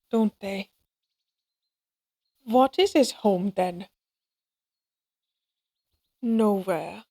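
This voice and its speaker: An actress plays a wistful woman from a remote lodge in a romantic audio drama. Her voice is fairly high and very unhurried, gentle and musing as she gently probes. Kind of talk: cinematic audio roleplay acting.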